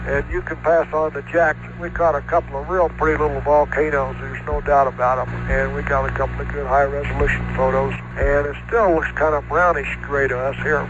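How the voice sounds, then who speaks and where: A man's voice: on the high side, little pitch movement, fairly quick, few pauses. An American man, an astronaut, giving an unscripted report over a space radio transmission. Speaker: astronaut reporting to mission control. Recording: noisy muffled archival space radio link.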